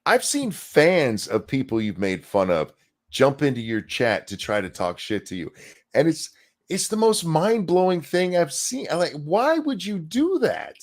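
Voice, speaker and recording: A man's voice: a little high, wildly swooping pitch, unhurried. A man hosting a casual livestream chat, talking in a loose, rambling way with restarts. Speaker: host.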